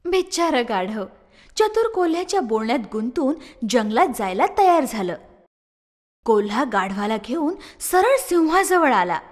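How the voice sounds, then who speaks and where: High expressive woman storyteller. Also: normal speed. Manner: expressive, sing-song storytelling. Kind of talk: scripted children's story narration. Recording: long reverb.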